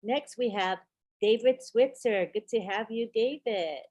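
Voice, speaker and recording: A woman's voice: fairly high pitch, darkish tone, no echo. Woman host, upbeat and encouraging, running a video call; dry, close sound. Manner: upbeat and encouraging, drawn-out introductions. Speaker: host of an online group call. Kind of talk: casual moderating on a video call.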